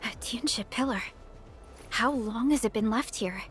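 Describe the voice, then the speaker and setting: A woman voicing a calm, prudent young female officer in a video game, speaking formally and thoughtfully. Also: on the high side, normal speed, slight background noise, close to an everyday voice. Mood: curious. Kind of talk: scripted video game dialogue.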